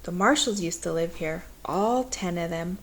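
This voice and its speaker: A woman's voice: fairly low pitch, normal speed, pitched below the performer's own voice. A woman voicing a kindly old storybook grandmother with a folksy, understated, plain-spoken way of talking. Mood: fond, reminiscing. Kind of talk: storybook dialogue read aloud.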